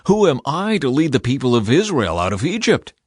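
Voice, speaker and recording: A man's voice: mid-range voice, very animated, brisk, darkish tone, husky, no echo, studio quality. A man voicing a humble, hesitant shepherd with an earnest, questioning manner in a scripture reading. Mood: doubtful, protesting.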